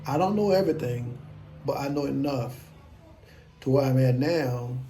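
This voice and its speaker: A man's voice: mid-range voice, moderate pace, warm tone, soft background music. A man in his fifties, talking casually to camera as an investing vlogger, blunt, self-assured and rambling. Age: 50s.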